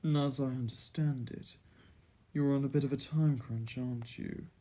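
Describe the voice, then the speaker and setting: A man's voice: mid-range voice, fairly even intonation, mellow, close to an everyday voice. A man playing a self-assured, courtly and condescending vampire prince in a scripted fantasy ASMR roleplay. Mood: smug, coaxing. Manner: formal, condescending, deliberate.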